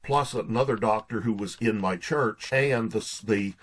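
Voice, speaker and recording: Husky, low-voiced man being interviewed. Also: normal speed. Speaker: guest author. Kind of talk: conversational interview answers.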